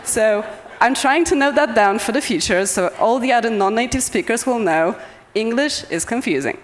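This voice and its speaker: A woman's voice: a little high, lively intonation, normal speed. A young woman with a Polish accent talks to a conference audience over a microphone. She sounds cheerful and self-deprecating and laughs often. Her voice carries a long reverb from the hall. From Poland. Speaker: conference speaker. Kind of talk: conference talk.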